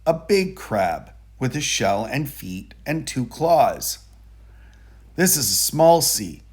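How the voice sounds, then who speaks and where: Husky, expressive male storybook reader. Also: medium pitch. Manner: deliberate, expressive storytelling for young children. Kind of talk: children's picture book read-aloud.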